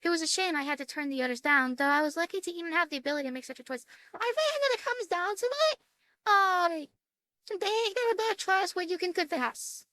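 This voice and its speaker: A woman doing casual gameplay commentary on a visual novel, reading its text aloud with a halting, stumbling delivery and some swearing. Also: very high voice, animated, pushed and bright, no room sound. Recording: music behind the voice. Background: music.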